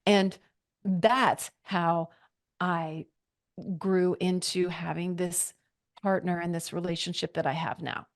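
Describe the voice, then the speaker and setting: A woman's voice: lowish voice, takes it slowly. A woman in her forties, a podcast guest, telling her personal story in an earnest, reflective way and acting out her own past thoughts. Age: 40s. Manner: earnest, reflective, with lively quoted inner thoughts.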